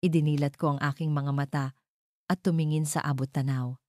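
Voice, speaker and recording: A woman reading a dramatic first-person story as a narrator, in a measured, deliberate way. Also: low-pitched, steady tone, a little mellow, no echo, clean studio sound.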